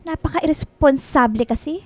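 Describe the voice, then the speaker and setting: A woman's voice: high voice, moderate pace, dry recording, natural voice. A woman playing a snide, fault-finding office superior with a Filipino accent in a radio drama. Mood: snide, accusing. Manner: needling, accusatory.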